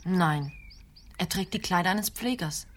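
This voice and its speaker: A woman's voice: lowish voice, unhurried, a little hiss or hum, natural voice. A woman playing an anxious, stern clinic nurse in a radio play, matter-of-fact and admonishing. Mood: uneasy.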